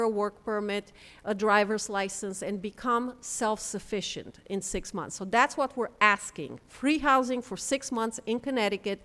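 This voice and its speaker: A woman giving a conference talk, halting now and then with ums and restarts as she speaks. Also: on the high side, fairly bright tone. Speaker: panel speaker for a refugee housing group. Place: conference room. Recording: long hall reverb.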